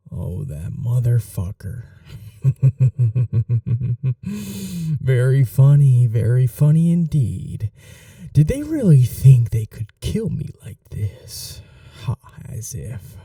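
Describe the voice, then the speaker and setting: A man voicing an obsessive, possessive 500-year-old male vampire in an ASMR roleplay, talking in soft, slow whispers. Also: medium pitch, no room sound, clean studio sound, distant mic, exaggerated voice. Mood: sardonic.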